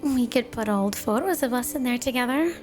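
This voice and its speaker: A woman's voice: on the high side, lively intonation, fairly quick, soft background music, close to an everyday voice. A woman playing an obsessively devoted snow woman wife in a flirty ASMR roleplay, gushing and cooing with a sweet but possessive manner. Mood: playful, gushing. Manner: gushing, cooing, doting, dreamy.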